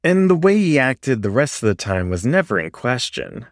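A man narrating a first-person story in a measured, expressive, dramatic way. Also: mid-range voice, dry recording, clean studio sound. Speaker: audiobook-style story reader.

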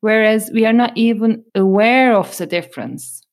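A woman's voice: a little high, moderate pace. Thoughtful woman from Turkey, podcast host. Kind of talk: conversational podcast talk.

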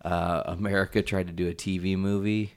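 Male podcast host, deep voice, chatty and casual. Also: level tone, a little fast, clean tone.

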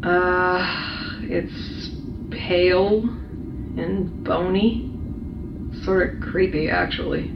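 A woman's voice: lowish voice, very slow, pauses often. Echoey, uneasy female test subject acted. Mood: uneasy, hesitant. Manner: hesitant, blunt, expressive. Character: uneasy, foul-mouthed convict test subject, thirties. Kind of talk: audio drama acting. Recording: dull, echoey metal chamber with clear noise.

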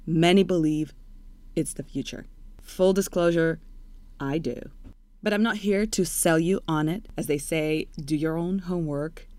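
A woman from Russia hosts an interview in a chatty, candid manner. Her voice sits low for a woman and sounds close and dry, with no room in it. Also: darkish tone, no echo. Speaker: podcast host.

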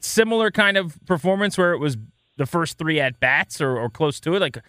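A male sports radio host with a bright, forceful voice pitched a little high. He delivers upbeat talk and interview questions, recorded very dry with almost no room sound. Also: animated, normal speed, clean tone, no echo.

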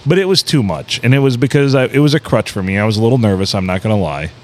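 A man's voice: fairly low pitch, fast, darkish tone. A man co-hosting a casual podcast from a small tavern, sounding a bit nasal, joking, laughing and swearing freely. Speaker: podcast co-host. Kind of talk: casual bar podcast banter.

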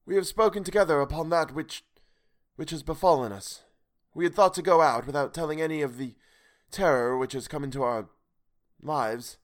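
A man doing a weary castaway calling out of the darkness, his voice pitched well above the reader's own. He speaks haltingly, with broken pauses, and sounds solemn and grave. It is a storybook voice with a worn, pleading edge. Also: natural voice. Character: weary old male castaway calling from a boat in the dark.

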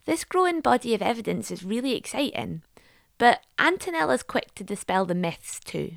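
Lively woman reporter narrating a script. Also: a little high, moderate pace, gravelly, no room sound, clean studio sound. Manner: fluent, polished delivery.

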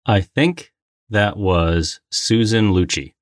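A man's voice: fairly low pitch, slow, heavy background noise. A man co-hosting a casual trivia game, talking in a playful, exclamatory way. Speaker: co-host of a trivia game podcast.

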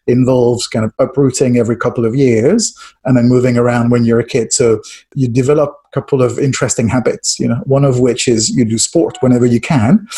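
A man's voice: medium pitch, mellow, breathy edge. A middle-aged man from France, a guest answering interview questions thoughtfully, with asides and restarts. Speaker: guest, strategy trainer and author.

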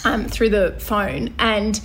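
A woman's voice: medium pitch, fairly even intonation, slow, fairly bright tone, slight background noise, rough recording. A young woman co-hosting a podcast in casual chat, talking chattily, laughing often and restarting her sentences. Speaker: podcast co-host.

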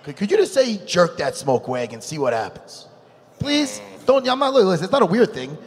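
A man with a New York accent talks in a high, bouncing voice, excitable and rambling, often loud. The sound has a long hall reverb. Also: normal speed. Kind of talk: excitable casual chat.

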